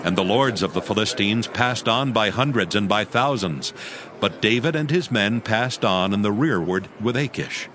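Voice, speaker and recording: A man narrating a dramatized audio Bible, reading scripture as a solemn, measured storyteller. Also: lowish voice, lively intonation, crowd noise.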